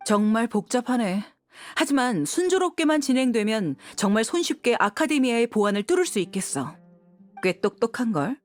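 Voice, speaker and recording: A woman's voice: fairly high pitch, sing-song, normal speed. A woman playing an easygoing, confident female fighter in a video game, talking casually and frankly. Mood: impressed, curious. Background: background music.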